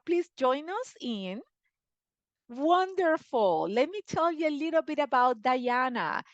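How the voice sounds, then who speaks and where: A fairly high-voiced woman hosts a live webinar over a video call. She is chatty and effusive, gushes freely and fills her pauses with fillers. The sound is close and dry. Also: no echo. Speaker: webinar host. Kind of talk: live online webinar hosting.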